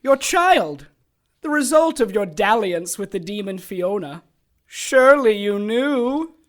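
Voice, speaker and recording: A woman's voice: mid-range voice, lively intonation, slow pace. A woman playing a scheming, megalomaniac male sorcerer villain in an audio drama, theatrical and taunting.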